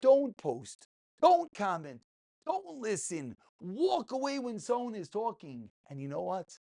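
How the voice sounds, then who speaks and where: A man's voice: high voice, wildly swooping pitch, a little slow, creaky, dark tone. A man giving a passionate, informal religious lesson as a rabbi, emphatic, full of rhetorical questions and repeated phrases.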